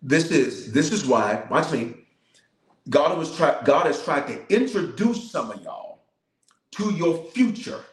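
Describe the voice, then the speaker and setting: A man's voice: a little high, animated, slow pace, reverberant. A man, a bishop, leading a livestreamed prayer with fervent, repetitive declarations and calls to the viewers. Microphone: cameras in front of him.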